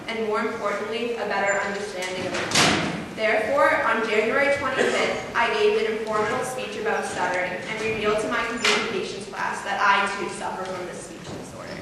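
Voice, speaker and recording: A teenage student with a mild stutter delivers a formal, carefully paced speech. Her voice sits mid-range and is picked up from a distance, with a long, roomy hall echo around every word. A faint hiss sits underneath. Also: medium pitch. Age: teens. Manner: formal, carefully paced, occasional repeated words. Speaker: student speaker. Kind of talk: prepared speech to an audience. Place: large hall, heard from far off.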